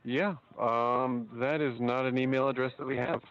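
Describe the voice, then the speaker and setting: A man with a mid-pitched voice works a support line over dull, lo-fi phone audio. He asks questions calmly and methodically, feeling his way through with some hesitation. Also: moderate pace. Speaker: customer support agent. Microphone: telephone line. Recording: muffled lo-fi phone call audio.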